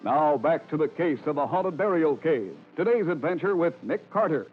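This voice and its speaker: A man's voice: fairly high pitch, lively intonation, moderate pace, soft background music. A man with an American accent announcing from a script in a formal, polished, emphatic vintage radio style. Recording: tinny, phone-like old radio recording.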